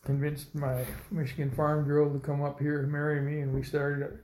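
A man in his seventies from Indiana, telling his life story slowly in an oral history interview, with many pauses and fillers. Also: fairly high pitch, monotone, darkish tone, reverberant, slight background noise, low-quality recording. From Indiana, United States. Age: 70s. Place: old building, a former dormitory.

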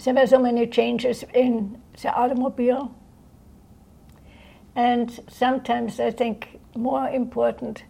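An elderly woman from Austria recalling her life at home in an unscripted way, halting as she searches for words. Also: a little high, level tone, creaky, dark tone, breathy edge, low-quality recording. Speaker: interviewee recounting life history. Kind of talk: unscripted reminiscence. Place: indoors in own home.

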